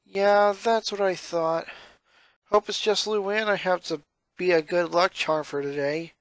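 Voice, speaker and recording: A man's voice: high voice, fairly even intonation, unhurried, dry recording. A man voicing a put-upon young boy in a cartoon fan story, grumbling and reluctant. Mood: glum.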